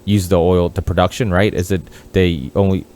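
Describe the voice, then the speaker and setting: Rambling, swearing man, low voice. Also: little pitch movement, normal speed, a little mellow. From Portugal. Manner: rambling, profane, many restarts. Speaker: podcast host. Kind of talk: casual podcast chat.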